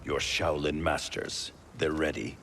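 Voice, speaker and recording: A man playing a grave, suspicious warrior in a video game cutscene, speaking in a stern, formal, deliberate way with a gravelly voice. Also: extremely low pitch, flat delivery, fairly bright tone, a little hiss or hum, heavily acted.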